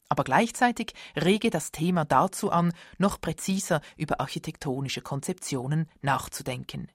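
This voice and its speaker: A radio feature narrator reading a formal script in a steady way. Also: mid-range voice, no room sound, studio quality.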